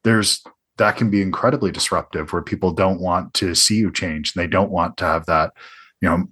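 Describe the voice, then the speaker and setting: A man with a fairly low voice conducts a conversational interview. He muses aloud and circles back on his sentences as he works toward a point. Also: fairly even intonation, normal speed, a bit bright, breathy edge. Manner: thoughtful, reflective, with frequent restarts.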